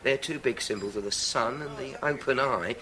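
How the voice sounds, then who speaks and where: An actor playing an obliging but sceptical police inspector in a radio drama, informal, matter-of-fact and deferential. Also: male voice, medium pitch, normal speed, close to an everyday voice.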